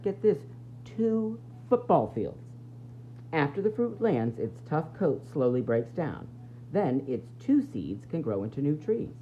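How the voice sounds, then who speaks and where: Very low-voiced woman teacher, lively read-aloud. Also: highs cut off, a little hiss or hum. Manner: expressive, explanatory, questioning the listeners. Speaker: teacher reading to young pupils. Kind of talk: read-aloud of a children's nonfiction book with explanations.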